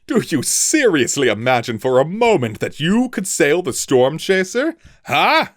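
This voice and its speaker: A man's voice: on the high side, sing-song, a little slow, no echo, studio quality, pitched above the performer's own voice. A man voicing a proud sky-ship captain who bellows and scoffs at a mutineer. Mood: angry commanding. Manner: loud, scornful and commanding.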